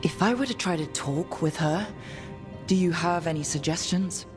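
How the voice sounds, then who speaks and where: Earnest young male adventurer, acted by a man, fantasy game, direct delivery, slightly high pitch, music underneath. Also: normal speed, natural voice. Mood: curious. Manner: plain-spoken, direct.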